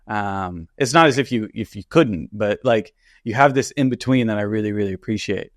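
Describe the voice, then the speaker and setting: A man's voice: fairly low pitch, moderate pace, dry recording, studio quality. A man hosting a podcast interview, chatting casually in an effusive, rambling, informal way.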